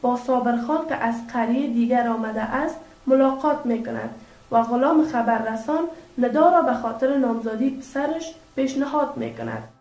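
Female radio drama narrator, high voice, scripted delivery, echo, soft music. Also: lively intonation. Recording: echoey, faint music behind.

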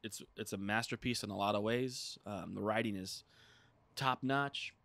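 Chatty man, deep-ish voice, dry studio. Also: moderate pace, vocal fry, dry recording. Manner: rambling, many "like" fillers, animated retelling. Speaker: podcast co-host. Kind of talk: casual chat. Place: small studio room with dark curtain backdrop. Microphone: desk mic on boom arm.

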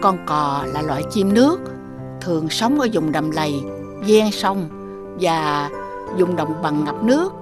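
A woman's voice: low voice, lively intonation, a little slow. A woman playing a kind, wise old village grandmother in a children's cartoon. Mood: patient, explaining. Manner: gentle, instructive. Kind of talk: children's animated cartoon acting. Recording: music behind the voice.

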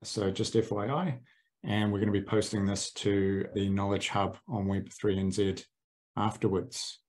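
A man hosting a webinar, giving a brisk, friendly introduction and housekeeping notes over a video call. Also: fairly low pitch, little pitch movement, a little mellow. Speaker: webinar host. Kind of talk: event introduction. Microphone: computer mic on video call.

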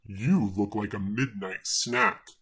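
A narrator voicing a huge, hungry storybook dragon with a deep, snarling, menacing manner. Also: slow pace, fairly bright tone, breathy edge, heavily acted.

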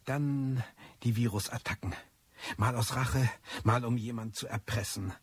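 An actor playing a disillusioned, ageing male hacker confessing on tape in a radio drama, brooding and theatrical, with a husky, raspy voice. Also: medium pitch, steady tone, unhurried. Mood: sly, boastful. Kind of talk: German radio drama monologue.

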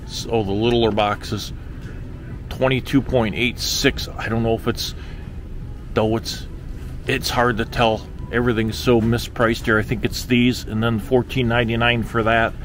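A man's voice: mid-range voice, flat delivery, measured pace, a bit bright. A middle-aged man giving casual, exclamatory, incredulous commentary as he vlogs, often repeating himself, on a handheld camera in a large store. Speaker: vlogger. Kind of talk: casual running commentary on grocery prices. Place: large supermarket, indoors. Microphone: handheld camera at arm's length. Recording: noisy store ambience. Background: store background noise.

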